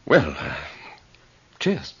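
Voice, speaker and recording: A brash young man down on his luck in a radio play. His low voice moves up and down in lively swings, polite, hesitant and inquisitive. The sound is slightly dull and lo-fi. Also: extremely slow, vocal fry, a little hiss or hum, full character voice. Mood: polite, awkward. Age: young adult. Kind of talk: radio drama acting.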